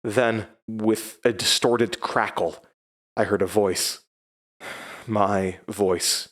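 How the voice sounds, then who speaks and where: Horror audio drama lead: haunted first-person narrator, lowish voice, measured and hesitant. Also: male voice, fairly even intonation, fairly bright tone. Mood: grave. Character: haunted man recounting his horrifying past.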